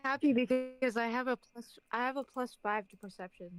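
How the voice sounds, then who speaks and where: A woman's voice: a little high, normal speed, clean tone, dry recording, faint music behind. A woman playing a tabletop game, chatting casually. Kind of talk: casual chat.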